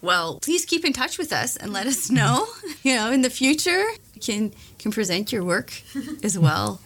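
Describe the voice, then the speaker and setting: A woman's voice: a little high, sing-song, unhurried, a bit bright. A woman interviewing a young writer, asking questions and offering encouraging, supportive comments.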